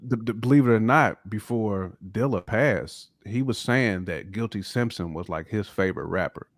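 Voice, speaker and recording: A man's voice: lowish voice, normal speed, no echo. A man co-hosting a hip-hop show, talking casually in emphatic slang and repeating himself to press his point. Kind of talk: casual music discussion.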